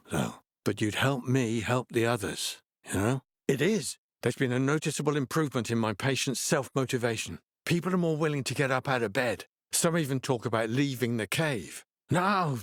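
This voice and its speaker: An actor playing a laid-back, earnest middle-aged hippie healer in a video game, explaining things in an easygoing way. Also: male voice, mid-range voice, no echo, clean studio sound. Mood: earnest, persuasive. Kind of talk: video game character dialogue.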